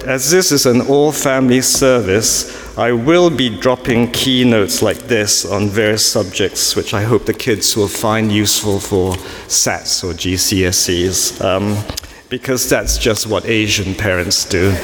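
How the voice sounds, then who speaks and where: A man's voice: lowish voice, a little slow, slight background noise. A man from Singapore giving a sermon at a family church service, chatty and self-mocking, with frequent ums and asides. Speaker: preacher. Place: large church. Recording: echoey church with long reverb.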